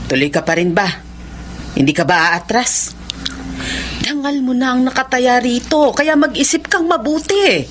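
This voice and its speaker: A woman's voice: high-pitched, lively intonation, moderate pace, a little hiss or hum. An actress playing a concerned, supportive mother in a Filipino radio drama, earnest and pleading. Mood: worried.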